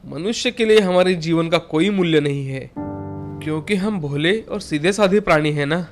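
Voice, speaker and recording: Man voicing a plaintive talking bullock, higher-pitched. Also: normal speed, faint music behind, natural voice. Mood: plaintive, weary. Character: earnest, suffering talking male bullock, loyal to his companion. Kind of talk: dramatized children's moral story.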